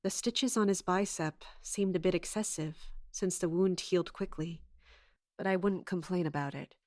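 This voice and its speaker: A woman playing a scheming, authoritative commander in an audio drama, speaking in a measured way. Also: lowish voice, a little mellow, dry recording, studio quality, lightly acted. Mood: brooding, resolved. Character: scheming, commanding woman in charge of palace guards. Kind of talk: audio drama acting with first-person narration.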